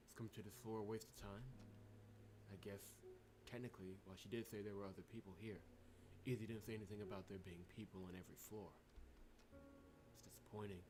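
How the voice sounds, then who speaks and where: Wary, gloomy visual novel lead, read by a male player, low-key and muttering, pitched low. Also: noisy background, natural voice. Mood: quietly disappointed. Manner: subdued, brooding, muttered. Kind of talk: reading game text aloud.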